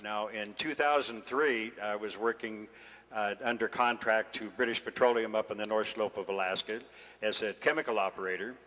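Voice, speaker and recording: A man's voice: on the low side, fairly even intonation, moderate pace, fairly bright tone. An older man with an American accent giving an informal campaign speech as a council candidate in a meeting room. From Northern California, United States. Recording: echoey meeting room, long reverb.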